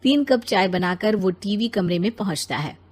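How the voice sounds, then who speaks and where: A woman narrating an animated moral story in a scripted storytelling style. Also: mid-range voice, normal speed.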